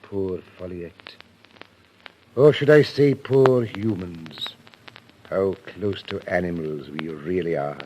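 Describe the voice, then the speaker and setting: An actor playing a grave, philosophical official in an old radio drama, speaking in a solemn, measured way. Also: male voice, low-pitched. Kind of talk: 1940s radio drama acting. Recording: old radio recording, faint noise.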